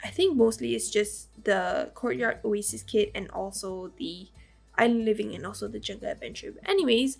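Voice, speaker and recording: Hoarse raspy woman with the flu. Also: medium pitch, moderate pace, darkish tone, faint music behind. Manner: rambling, lots of fillers and small laughs. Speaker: game builder narrating a build. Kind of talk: casual voice-over commentary.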